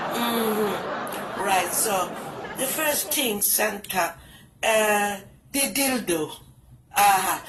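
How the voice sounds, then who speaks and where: A woman's voice: medium pitch, background noise. A middle-aged woman with a British Jamaican accent, doing a bawdy comic phone call with patois turns of phrase. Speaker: comedian. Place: indoors, small room. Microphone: phone camera close up, wired earphones.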